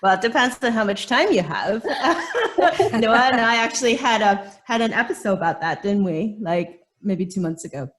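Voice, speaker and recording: A woman's voice: on the high side, animated, normal speed, fairly bright tone. A middle-aged woman from Hong Kong with a slight British accent speaks as a panelist on a video call. She reflects with rhetorical questions and restarts her sentences. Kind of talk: webinar panel discussion.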